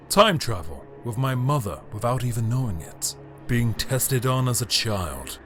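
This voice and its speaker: A man playing a grandiose, cold tyrant emperor in an audio drama, delivering a pompous, theatrical monologue with deliberate pauses and condescension. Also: medium pitch, lightly acted. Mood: reflective, proud. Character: grandiose, cold tyrant Emperor, formerly a heroic Time Lord.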